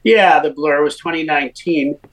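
A man's voice: a little high, moderate pace, low-quality recording. A middle-aged man from Winnipeg with a Canadian accent, a musician guest telling his story in a chatty way, with many fillers. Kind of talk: casual interview.

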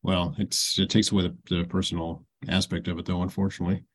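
Male interview host, lowish voice, brief affirming chat. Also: flat delivery, quick pace, breathy edge.

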